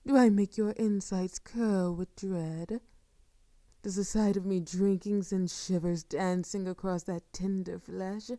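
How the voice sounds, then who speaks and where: A woman playing a sadistic, seductive vampire in an intimate audio roleplay, speaking softly and formally with a silky, teasing menace that often sinks toward a whisper. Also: medium pitch, dry recording, studio quality. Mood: menacing, taunting. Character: sadistic, seductive female vampire, courtly and predatory. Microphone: close microphone, dry.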